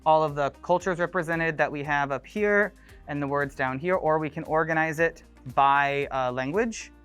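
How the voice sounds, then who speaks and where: Grade one teacher, man, smooth tone, hesitant and casual, light music bed. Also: on the high side, moderate pace. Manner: relaxed, with frequent ums and uhs. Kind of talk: documentary interview.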